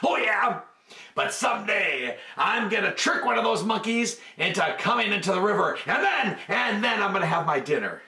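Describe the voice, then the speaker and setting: A man's voice: sits high, lively intonation, moderate pace, pushed and bright, exaggerated voice. A man voicing a greedy young male crocodile who sounds sly, boastful and scheming. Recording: roomy, from a distance.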